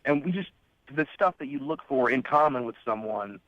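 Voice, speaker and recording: A man's voice: mid-range voice, lively intonation, normal speed, no echo. A man calling in to a radio show by telephone, casually recounting things in an earnest, slightly hesitant way. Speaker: phone-in caller. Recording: dull, lo-fi phone line.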